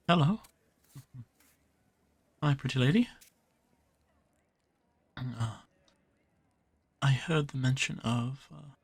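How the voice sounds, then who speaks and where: A man voicing a shy, bumbling male jacklomire creature with vampire traits, who speaks in a halting, hesitant way. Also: medium pitch, a little mellow, slightly rough, no room sound, heavily acted. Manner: halting, hesitant, many fillers. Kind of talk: audio roleplay drama.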